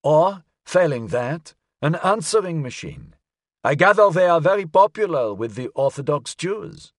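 A man voicing an elderly, courteous Frenchman who speaks in a formal, precise, measured way in an audiobook. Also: fairly high pitch, very animated, dry recording, studio quality, pitched above the performer's own voice. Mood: mildly reproving. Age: older. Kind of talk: audiobook character acting.

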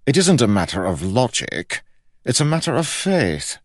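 A man's voice: fairly low pitch, wildly swooping pitch, slow pace, no echo, clean studio sound, pitched above the performer's own voice, heavily acted. A man voicing a pompous, pious king in an audiobook, questioning in a scornful, imperious way. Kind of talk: audiobook character acting.

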